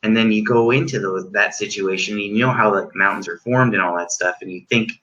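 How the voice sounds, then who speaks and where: A man with a deep voice talks in a loose, rambling way, full of fillers and false starts. His tone is bright and forward. He sounds like a musician guest in a casual chat. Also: steady tone, fairly quick, lo-fi sound. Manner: rambling, many likes and restarts.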